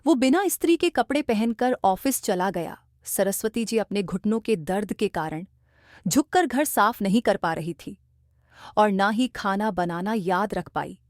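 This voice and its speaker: Woman narrator, lively intonation, scripted cartoon storytelling, dry close voice-over. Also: medium pitch, measured pace, no echo.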